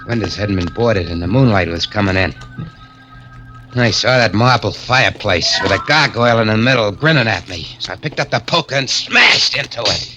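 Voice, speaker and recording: A man's voice: on the low side, close to an everyday voice, poor audio. An actor playing a hardened male crook in a 1940s radio drama, talking in a clipped, menacing tough-guy way.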